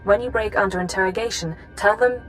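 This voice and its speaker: A medium-pitched female voice from an actress plays a driven intelligence chief in a science fiction game. She is grave and controlled, speaking formally over faint music. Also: lightly acted, rough recording. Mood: controlled, somber. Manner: measured, formal briefing delivery. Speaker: mission handler in a sci-fi game. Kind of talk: dramatic game voice acting.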